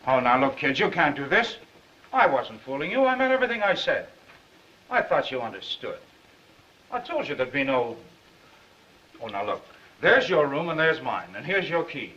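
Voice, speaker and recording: An American actor playing a cheerful, fast-talking young newspaper reporter who bluffs with glib, eager patter in a 1930s film. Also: male voice, fairly high pitch, very animated, sharp tone. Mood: pleading, earnest. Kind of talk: 1930s film comedy-drama acting. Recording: muffled, band-limited 1930s film soundtrack.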